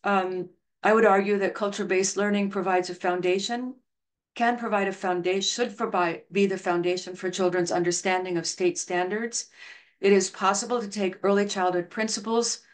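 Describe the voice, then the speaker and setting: A woman's voice: mid-range voice, fairly even intonation, moderate pace. A middle-aged woman giving an online lecture over slides on a video call, talking earnestly and telling stories. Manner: earnest, storytelling, reads quotes aloud. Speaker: education researcher presenting a talk. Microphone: video call webcam.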